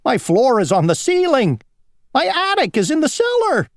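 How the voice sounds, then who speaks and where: A reader voicing a fussy, panicky little worm in a high, squeaky voice for a children's storybook. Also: huge pitch swings, slow, darkish tone, no room sound, clean studio sound. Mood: panicked. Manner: fussy, exclaiming.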